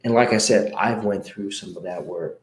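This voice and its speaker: Male interview host, low voice, earnest and halting, in an echoey room. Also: slight background noise. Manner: earnest, halting with many fillers. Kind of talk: conversational interview.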